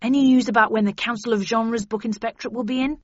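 A woman's voice: a little high, fairly even intonation, fast talker, no echo, muffled recording, natural voice. A woman voicing a large, jovial male detective chief inspector in an audiobook, hearty and anxious for approval.

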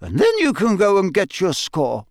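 A man voicing a brisk, bossy school nurse who fusses indignantly, from an audiobook story. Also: mid-range voice, huge pitch swings, dry recording, clean studio sound, pitched above the performer's own voice. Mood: indignant, scolding. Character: brisk, scolding school nurse, disgusted and fussing.

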